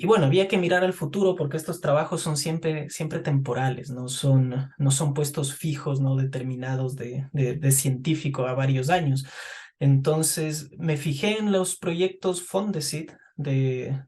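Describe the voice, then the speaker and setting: A middle-aged man from Ecuador speaks as a guest in an interview, in a voice pitched a little higher than most men. He talks in a thoughtful, halting way and often repeats words while he gathers his thoughts. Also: darkish tone. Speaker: guest chemist. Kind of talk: interview answers.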